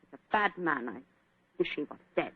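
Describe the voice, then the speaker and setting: A woman's voice: deep voice, expressive, normal speed, husky, no echo. An actress playing an anxious young female concert pianist from Vienna, speaking broken English with a German accent, in 1940s radio acting style, heard on a dry, tinny old radio broadcast.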